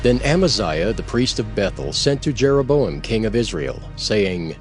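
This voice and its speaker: A man's voice: fairly low pitch, lively intonation, normal speed. A man serving as narrator in a scripted scripture reading, announcing and linking the passages. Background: music.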